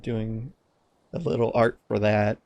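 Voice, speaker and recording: A man's voice: lowish voice, little pitch movement, takes it slowly, darkish tone, dry recording, highs cut off. A young man co-hosting a podcast in an informal, slightly sardonic conversational style. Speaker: podcast co-host. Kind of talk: casual podcast chat.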